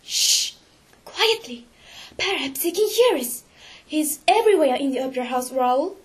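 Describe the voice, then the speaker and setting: A woman's voice: sits high, expressive, normal speed, darkish tone. A woman voicing a frightened, anguished young woman in an audiobook story, speaking urgently and dramatically. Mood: hushed fear.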